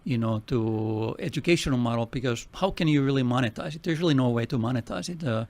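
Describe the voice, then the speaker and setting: Finnish-accented male guest, hesitant and sincere, middle pitch. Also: darkish tone. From Finland. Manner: halting, many fillers, earnest. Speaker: nonprofit president, radio guest. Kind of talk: radio interview.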